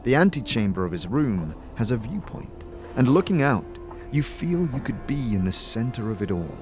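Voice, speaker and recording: A man giving measured, dramatic scripted narration as the storyteller of a fantasy audio drama. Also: mid-range voice, big pitch swings, darkish tone. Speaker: narrator and game master. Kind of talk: scripted second-person fantasy narration and character dialogue. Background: music.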